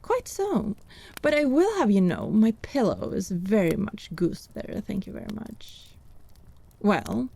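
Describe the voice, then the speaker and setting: Woman acting a slow, wry fantasy healer woman. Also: medium pitch, vocal fry, warm tone, full character voice. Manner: arch, teasing, theatrical. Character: wry, haughty female country healer, sardonic and inquisitive. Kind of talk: fantasy audio drama acting.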